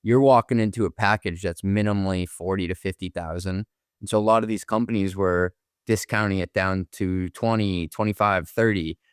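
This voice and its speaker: A man's voice: low-pitched, fairly even intonation, moderate pace, smooth, dry recording, studio quality. A man co-hosting a business podcast, talking casually in informal, colloquial language. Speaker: podcast co-host.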